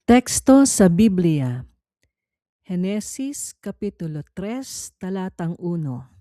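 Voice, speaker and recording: A woman reading a scripted Bible quiz in a measured, formal, instructional manner. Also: fairly low pitch, sing-song, mellow, no echo, studio quality. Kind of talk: scripted read-along narration.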